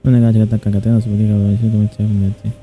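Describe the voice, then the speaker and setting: A man from Indonesia comments casually on a game in a very dark, mellow voice. He talks quickly in offhand side remarks, with game music playing behind. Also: fairly low pitch, flat delivery. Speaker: streamer reacting to a game story.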